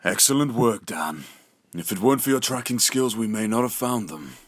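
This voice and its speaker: A man's voice: on the low side, expressive, normal speed, dry recording, clean studio sound. An actor playing a stern, commanding middle-aged father who leads monster slayers in a drama. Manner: commanding, solemn.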